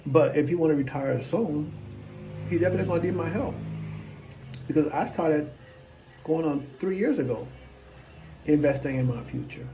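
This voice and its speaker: A man's voice: on the high side, moderate pace, pauses often, dark tone, faint music behind, poor audio. A man in his fifties, talking casually to camera as an investing vlogger, blunt, self-assured and rambling. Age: 50s.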